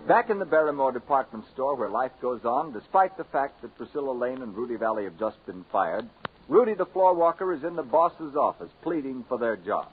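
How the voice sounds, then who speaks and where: A man's voice: mid-range voice, big pitch swings, fairly quick, narrow, tinny audio. A man announcing on 1940s radio, reading scripted sponsor copy and scene introductions. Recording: scratchy 1940s radio recording.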